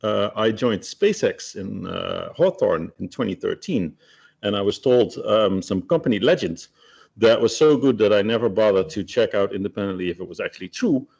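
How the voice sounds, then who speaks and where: A man's voice: on the low side, animated, normal speed, creaky, darkish tone, slightly rough. A middle-aged man from the Netherlands, giving a technical webinar talk with many hesitations and fillers. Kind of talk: technical presentation. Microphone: video call. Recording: muffled lo-fi video-call audio.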